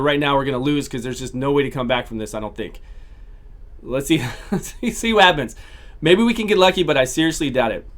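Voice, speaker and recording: A man casually commentating his own gameplay, talking through each battle and repeating his phrases, his voice starting to go hoarse. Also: fairly high pitch, a bit bright. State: voice going hoarse. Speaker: gaming channel host.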